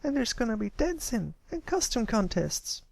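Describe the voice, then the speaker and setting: Man putting on a high, chirpy little filly. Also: big pitch swings, moderate pace, creaky, no room sound, heavily acted. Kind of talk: character voice in storybook reading.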